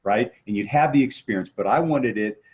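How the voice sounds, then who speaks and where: A man's voice: mid-range voice, a little fast, muffled recording. A man speaking as an interview guest and motivational coach, explaining things conversationally and posing rhetorical questions. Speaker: guest, motivational coach and martial arts trainer.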